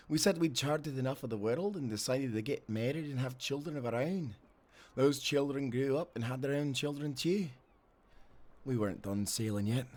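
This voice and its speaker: A man plays a seasoned old sailor in a cinematic audio adventure, with a middle-pitched voice. He is devoted and nostalgic, an earnest storyteller with salty, old-fashioned phrasing. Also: a little mellow, natural voice. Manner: earnest storytelling, archaic nautical turns of phrase. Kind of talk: cinematic audio drama acting.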